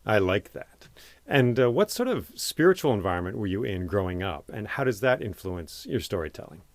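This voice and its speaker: Deep, lively-voiced male host. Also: moderate pace, dry recording. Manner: curious, asks short follow-up questions. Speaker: podcast host. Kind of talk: interview questions.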